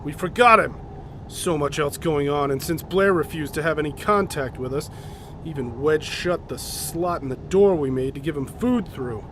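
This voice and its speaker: Male actor as polar expedition leader, higher-pitched, commanding, methodical, radio drama, noisy rumbling backdrop. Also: a bit bright, close to an everyday voice. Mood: alarmed. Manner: deliberate, explanatory, authoritative. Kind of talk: audio drama acting.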